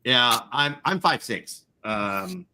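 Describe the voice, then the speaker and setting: A man's voice: medium pitch, very animated, slow pace, crowd noise. A man in his forties chatting casually, rambling with lots of fillers and the odd swear. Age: 40s. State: chronic back pain. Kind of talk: casual chat.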